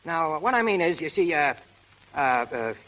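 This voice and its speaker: An actor playing a brash, hot-tempered but lovestruck prizefight manager, talking in rough street slang in 1930s radio style. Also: male voice, fairly high pitch, big pitch swings, normal speed, bright, forceful tone, a little hiss or hum, low-quality recording. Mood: eager, awkward. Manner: tough, slangy, ungrammatical street talk. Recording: old 1930s radio broadcast recording.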